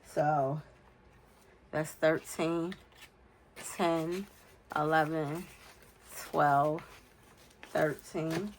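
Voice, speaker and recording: A woman's voice: sits low, steady tone, extremely slow, pauses often, slight background noise, poor audio. A woman in her forties with a cold and a nasal voice, talking casually and unhurriedly as she fills in budget challenges, counting aloud under her breath. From United States. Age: 40s. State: congested from a cold. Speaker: budgeting vlogger. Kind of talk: casual talk while filling budget challenges.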